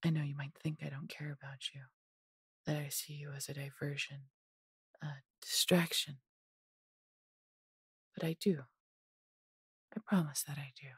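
A woman voicing a large, possessive but doting female dragon in an intimate fantasy audio roleplay, coaxing and teasing in a breathy, husky voice. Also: low voice, little pitch movement, unhurried, long pauses, fairly bright tone, dry recording, studio quality, exaggerated voice. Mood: sleepy, tender.

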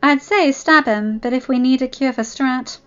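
A woman's voice: high voice, moderate pace, a bit bright, dull audio, pitched above the performer's own voice. A woman voicing a tough young female gang leader with a firm, commanding manner in a narrated story. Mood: brisk, commanding.

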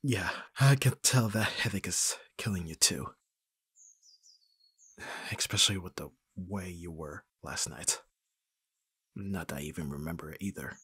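A man acting a flustered young best friend in love in an intimate ASMR roleplay, husky and breathy, with a hesitant, stammering delivery. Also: deep voice, a little slow, long pauses, fairly bright tone, no room sound, heavily acted. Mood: weary, affectionate. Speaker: audio roleplay voice actor.

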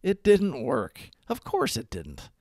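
A man narrating a scripted daily recovery reflection as a devotional read-aloud, with measured, emphatic phrasing. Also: medium pitch, wildly swooping pitch, a little mellow, no room sound, studio quality.

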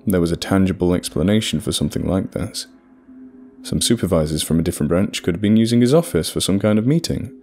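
A man narrating a horror story in a measured, scripted storytelling delivery. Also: low voice, darkish tone, slight background noise.

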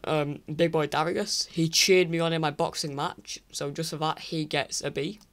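A teenage boy from England ranking online creators in casual screen-recorded commentary, rambling and apologetic with many restarts, his voice croaky from recording late at night. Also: fairly high pitch, fairly even intonation, moderate pace, a bit bright. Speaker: YouTuber. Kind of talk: casual tier list commentary.

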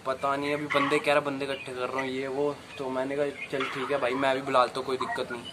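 A man's voice: medium pitch, monotone, fast. A young man chatting casually as a vlogger, recorded outdoors on a camera mic. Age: young adult. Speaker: vlogger making prank calls. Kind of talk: casual chat. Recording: noisy amateur outdoor recording.

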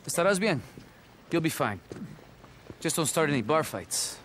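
Calm, higher-voiced bilingual doctor. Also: male voice, moderate pace, a little hiss or hum. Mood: calm, wry. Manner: switches between Spanish and English. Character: calm, bilingual middle-aged male army doctor. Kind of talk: video game cutscene acting.

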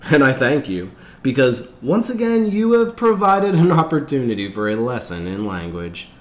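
A man's voice: mid-range voice, very animated, moderate pace, reverberant, voice pushed up, natural voice. A man voicing a patient, amused male school instructor in a story, formal and pedantic.

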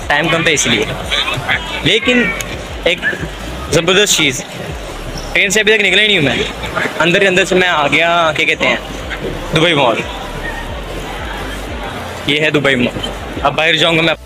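A young man chatting excitedly to viewers as he walks through a city plaza and mall, recorded on a handheld phone at arm's length. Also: a little high, rough recording. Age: young adult. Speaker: travel vlogger. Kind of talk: casual walking tour vlog.

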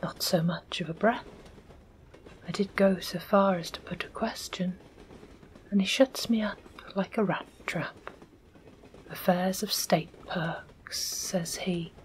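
A touchy, sulking railway porter, a grown man of the working class, speaking in a low hushed whisper from a storybook, as a woman reader puts him on. His talk is clipped and dialect-heavy, slow and a little low-pitched. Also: steady tone, low-quality recording. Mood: aggrieved. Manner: whispered, sulky dropped-aitch dialect speech.

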